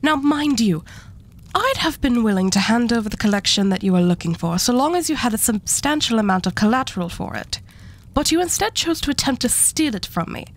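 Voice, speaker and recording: A woman's voice: fairly high pitch, expressive. Woman playing a haughty, condescending female arch devil. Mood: coolly menacing. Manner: condescending, unhurried, politely menacing. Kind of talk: scripted ASMR roleplay acting.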